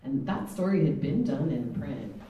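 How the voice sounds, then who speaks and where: Low-voiced woman panelist, rambling. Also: animated, normal speed, mellow, lo-fi sound. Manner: rambling, many filler "like"s. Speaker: podcast producer on a panel. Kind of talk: live panel discussion. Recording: echoey room, distant mic, faint noise.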